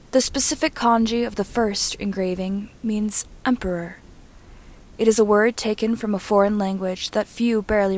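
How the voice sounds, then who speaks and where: Woman narrating a story at middle pitch. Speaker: reader and narrator of fan fiction. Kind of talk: scripted storybook narration, audiobook reading.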